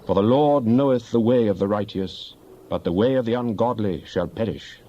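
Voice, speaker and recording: A man's voice: lowish voice, normal speed, mellow. A man giving a solemn, declamatory dramatic recitation of psalms as a scripture reader. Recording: dull lo-fi, faint background noise.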